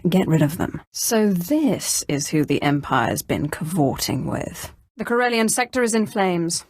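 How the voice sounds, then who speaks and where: An actress playing an imperious, menacing Sith sorceress risen from slavery in a video game, with a haughty, commanding delivery. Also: female voice, lowish voice, very animated, a little slow, darkish tone, slightly rough, no echo, studio quality, heavily acted. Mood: cool, curt. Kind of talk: scripted game dialogue.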